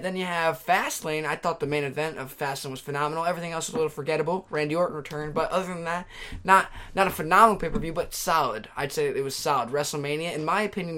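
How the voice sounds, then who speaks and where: A man's voice: fairly high pitch, pushed and bright. Enthusiastic young male podcast guest. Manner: enthusiastic, opinionated. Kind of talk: casual podcast chat.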